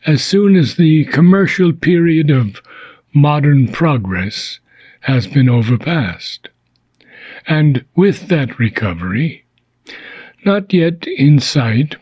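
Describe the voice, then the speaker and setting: Male audiobook reader, husky and unhurried, solemn and deliberate delivery of philosophical text with measured phrasing. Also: on the high side, a little mellow, no room sound. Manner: measured, deliberate, with frequent pauses between phrases.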